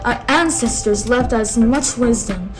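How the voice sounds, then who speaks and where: Wise dying old king, as a woman reads him, calm and solemn, slow measured words, fairly high voice, storybook reading. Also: music in the background, natural voice. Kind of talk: read-aloud storybook.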